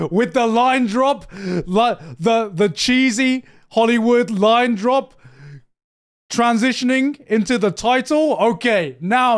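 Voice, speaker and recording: A young man casually reacting to an anime trailer on a live stream, swearing freely, laughing often and repeating himself as he thinks aloud. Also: high voice. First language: not Japanese.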